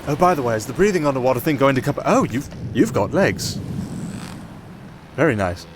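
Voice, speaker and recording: A man's voice: medium pitch, sing-song, brisk, lightly acted. Man playing wry castaway captain over sea noise. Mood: relieved. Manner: chatty, wry, addressing an unheard companion. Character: roguish, wry young castaway musician turned makeshift captain. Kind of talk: ASMR fantasy roleplay acting. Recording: clear outdoor sea ambience behind.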